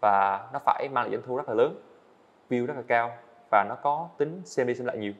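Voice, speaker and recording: A man's voice: medium pitch, a little fast, highs cut off. A young man speaking as a podcast guest, explaining his plans at length in an earnest, casual way, seated in an indoor studio set. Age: young adult. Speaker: guest, student animator. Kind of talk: casual interview talk.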